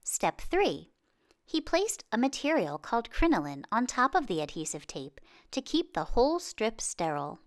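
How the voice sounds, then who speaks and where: A woman reading a children's picture book aloud in an expressive way, with dramatic pauses and exclamations. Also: fairly high pitch. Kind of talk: children's storybook narration.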